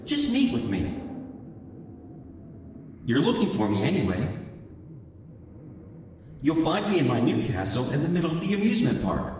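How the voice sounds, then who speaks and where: An actor playing a scheming monkey villain in a video game, with a smug, taunting, theatrical delivery. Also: male voice, medium pitch, a little mellow, a little hiss or hum. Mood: smug, coaxing. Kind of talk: cartoonish video game voice acting.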